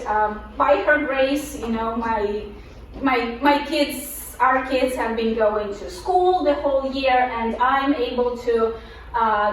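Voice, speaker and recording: A middle-aged woman from Ukraine with a Ukrainian accent, giving an informal personal presentation in a conversational, halting manner with frequent pauses. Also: sits high, fairly bright tone. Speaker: guest presenter. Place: echoey indoor room, live presentation. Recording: echoey room, distant mic, faint noise.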